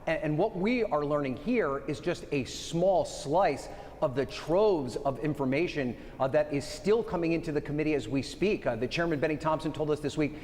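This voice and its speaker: A man reporting live for a news broadcast, explaining things in a conversational, slightly halting way. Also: on the high side, darkish tone, big-room sound.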